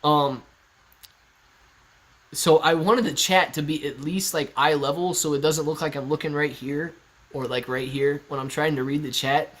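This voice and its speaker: A man's voice: on the high side, steady tone, moderate pace, a bit bright. A man in his thirties hosting a casual live stream from his living room, reading viewer chat aloud, laid-back and chatty, laughing often. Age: 30s. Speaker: stock livestream host. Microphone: laptop on a kitchen stool at eye level.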